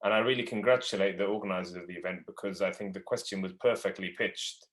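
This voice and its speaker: A man's voice: low-pitched, steady tone. Earnest Scouse man on a video call. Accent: Liverpool English. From Palestine and the UK. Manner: earnest, measured, with some restarts. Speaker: panel speaker, campaigner. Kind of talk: online panel talk.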